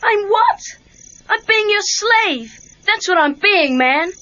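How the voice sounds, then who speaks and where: On a muffled tape, a woman voices a teenage boy with a very high, bright voice. He is cheeky, eager and impatient, talking informally in a children's story drama. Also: expressive, takes it slowly, exaggerated voice. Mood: indignant. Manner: informal, protesting, exclamatory. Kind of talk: children's read-along audio drama acting. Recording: dull lo-fi cassette tape.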